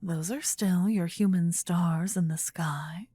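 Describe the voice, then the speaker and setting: A woman playing a possessive, tender demon queen in a fantasy ASMR roleplay, with a soft breathy whisper and an intimate, regal, coaxing manner. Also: on the low side, slow, no room sound. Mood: evasive, soothing.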